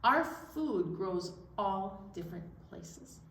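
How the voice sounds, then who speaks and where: A woman presenting a children's storytime, explaining things simply and in an animated way, with sing-along songs. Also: mid-range voice, takes it slowly. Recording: roomy, distant mic, some background noise.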